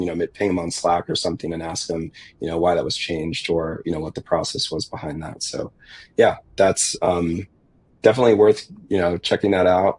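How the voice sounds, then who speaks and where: A man with a very low, monotone voice explains a technical workflow over a video call. His voice is wearing thin as he talks, and he steadily works through fillers and restarts. The recording is dry and close. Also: husky, dry recording. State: losing his voice. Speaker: security engineer guest.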